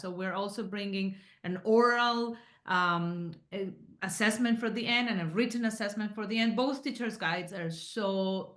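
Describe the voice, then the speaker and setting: A woman's voice: medium pitch, fairly bright tone. A woman from Medellín with a Colombian paisa accent, explaining things in an enthusiastic, conversational way as an author and teacher. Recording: roomy, echoey sound.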